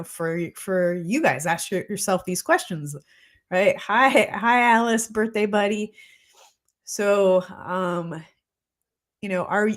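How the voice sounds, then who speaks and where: Forties lady talking live to her viewers, a bit stuffed up, chatty n thoughtful. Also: medium pitch. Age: 40s. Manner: chatty and reflective, many fillers. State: congested. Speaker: holistic practitioner hosting a weekly live show. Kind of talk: casual live-stream talk, greeting viewers. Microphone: streaming mic.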